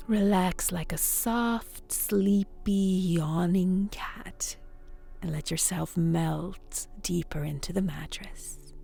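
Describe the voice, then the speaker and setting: A woman hosting a bedtime story, guiding relaxation in a soft, soothing, often whispered voice. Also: fairly low pitch, takes it slowly, vocal fry, husky, faint music behind. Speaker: storyteller and host.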